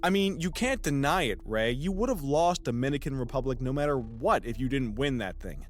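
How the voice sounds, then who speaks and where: An actor playing a skeptical, teasing podcast host who is sarcastic and probing, in a natural chatty audio drama style. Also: male voice, medium pitch, animated, a little fast, natural voice. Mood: speculative.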